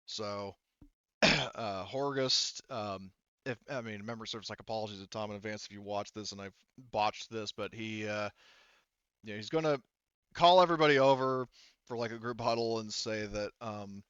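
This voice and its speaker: A man works as game master, improvising narration in a halting, conversational way. His lowish voice is bright and clear, captured dry and a little dull. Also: dry recording. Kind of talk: improvised tabletop roleplay narration.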